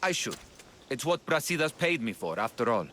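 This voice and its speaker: A man's voice: mid-range voice, very animated, moderate pace, pushed and bright, a little hiss or hum. A man playing a sly, greedy messenger in a game cutscene, oily, teasing and theatrical. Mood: oily, mocking. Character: sly, flattering, greedy male messenger turned traitor. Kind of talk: dramatic game cutscene acting.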